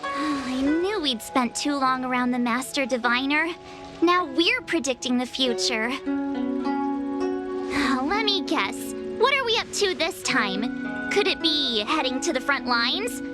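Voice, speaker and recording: High-pitched, sassy game girl. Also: heavily acted. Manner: sassy, exaggerated complaining. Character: cheeky, childlike, outspoken young girl adventurer. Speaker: game character voice actor. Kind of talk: scripted game dialogue. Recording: loud music behind the voice.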